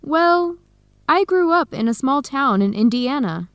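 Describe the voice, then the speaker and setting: A friendly, easygoing young woman in a radio drama. Her voice is high and very animated, and she takes her time over each line in a dry, close sound. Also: measured pace, no room sound. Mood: relaxed, candid. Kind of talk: scripted educational radio drama dialogue.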